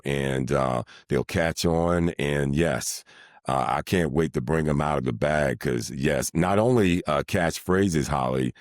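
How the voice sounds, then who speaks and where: A middle-aged man, a sports announcer, speaking in a deliberate, formal and wordy way in an interview. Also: a bass voice, no room sound, clean studio sound. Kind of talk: measured, formal interview talk.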